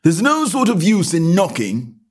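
A man voicing a dull, solemn frog footman who speaks in a slow, unbothered drawl. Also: sits high, huge pitch swings, pitched above the performer's own voice, heavily acted.

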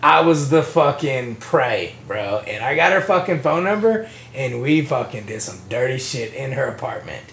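A man hosting a podcast, chatting crudely and boastfully with friends, often loud and laughing. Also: mid-range voice, very animated, normal speed, gravelly, hardly any pauses. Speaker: podcast host. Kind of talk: crude casual banter among friends. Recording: echoey room with some background noise.

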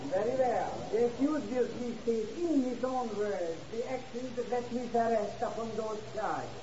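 An actress in a 1950s radio drama playing a stern show-trial court official, formal and declamatory. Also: female voice, on the high side, moderate pace, lightly acted. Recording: muffled, echoey, distant, noisy crowd behind.

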